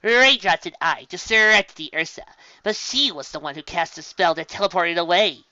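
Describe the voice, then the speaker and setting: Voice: medium pitch, normal speed, pushed and bright, dry recording, lo-fi sound, voice pushed up. A performer voicing a brash, dramatic young female unicorn, proud and easily exasperated, in a theatrical storybook reading. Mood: proud, official. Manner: theatrical, exclamatory.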